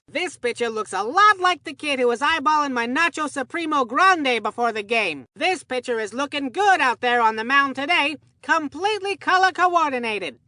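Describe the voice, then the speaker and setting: A woman's voice: sits high, sharp tone, no room sound, studio quality. A woman voicing an enthusiastic, chatty boy baseball commentator in a cartoon video game, telling things in a folksy, earnest way. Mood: matter-of-fact. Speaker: sports commentator.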